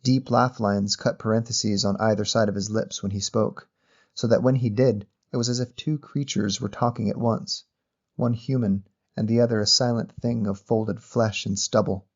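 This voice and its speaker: Measured male story narrator, slightly muffled. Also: fairly low pitch, fairly even intonation. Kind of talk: scripted literary short story narration.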